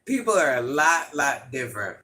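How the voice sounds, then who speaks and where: A man with an itchy, scratchy throat, hosting a livestream in a grandmother persona and chatting through viewer comments with quick laughs and asides. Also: mid-range voice, very animated, sharp tone. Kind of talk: casual live chat answering viewer comments.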